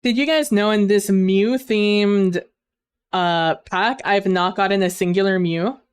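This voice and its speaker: A woman's voice: mid-range voice, unhurried, smooth, no echo. A woman streaming a game on a headset mic, chatting casually and rambling with fillers while losing her voice. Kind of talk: casual gaming stream chat.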